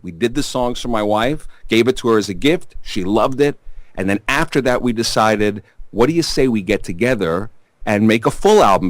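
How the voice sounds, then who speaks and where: A man with a New York accent and a deep baritone voice, answering interview questions in a rambling, chatty way full of fillers and restarts. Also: no room sound, low-quality recording. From Staten Island, New York. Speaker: bass player in a rock band.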